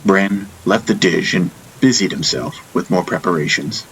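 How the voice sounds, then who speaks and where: A man narrating a first-person fantasy audiobook in a solemn, measured, reflective manner. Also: low-pitched, fairly bright tone, a little hiss or hum, low-quality recording.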